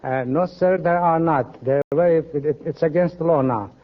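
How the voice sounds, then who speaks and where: A man with a Persian accent speaks in a slightly high voice, answering questions politely and formally. He sounds like a quiz contestant telling his own stories, on a muffled vintage radio recording. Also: moderate pace. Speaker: quiz show contestant, professional wrestler. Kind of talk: polite interview answers and anecdotes. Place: radio studio stage with audience.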